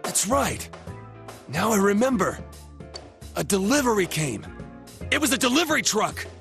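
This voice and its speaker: Gravelly, high-strung teenage boy. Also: very animated, moderate pace, a bit bright, exaggerated voice. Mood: realizing. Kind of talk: video game voice acting. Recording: music under the dialogue.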